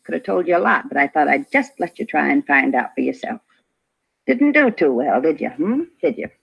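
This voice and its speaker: Nosy female neighbor, prickly, gravelly, animated pitch, emphatic, argumentative, vintage radio drama. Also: brisk, exaggerated voice. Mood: smug. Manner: huffy, argumentative, clipped emphatic phrasing. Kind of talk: 1950s radio drama acting. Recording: dull vintage radio recording.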